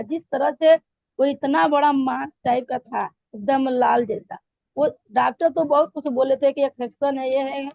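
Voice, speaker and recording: A woman with a hoarse, high voice tells her pastor her story in halting phrases full of fillers and restarts. Her tone is gravelly. The sound is dull and lo-fi, like call audio, with no room echo. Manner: hesitant, many fillers and restarts. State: hoarse throat. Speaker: church member giving testimony. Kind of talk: spoken testimony to a pastor.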